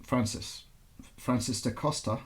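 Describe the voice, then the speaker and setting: A man's voice: medium pitch, fairly even intonation, a little slow, lightly acted. A man voicing a wary, anxious mother on the run in a novel reading, guarded and feigning indifference.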